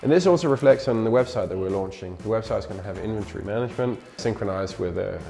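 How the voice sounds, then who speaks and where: A man's voice: on the low side, quick pace, vocal fry, over music. A man in his thirties walking through a warehouse and office, casually updating viewers while filming himself at arm's length. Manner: conversational, searching for words with fillers. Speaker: company team member giving a project update. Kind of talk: casual walk-and-talk vlog. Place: large warehouse and office indoors. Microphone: handheld camera at arm's length.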